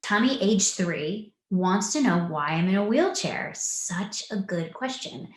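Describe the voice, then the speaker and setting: A woman's voice: mid-range voice, animated, measured pace. A woman explaining things simply for young children, recorded with roomy echo and faint noise. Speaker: guest answering children's questions.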